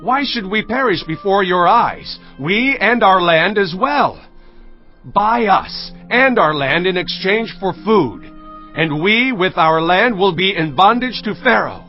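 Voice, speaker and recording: An actor playing a starving Egyptian commoner, earnest and imploring, in a dramatized audio Bible. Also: male voice, high-pitched, animated, takes it slowly, soft background music. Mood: desperate pleading. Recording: dull lo-fi audio.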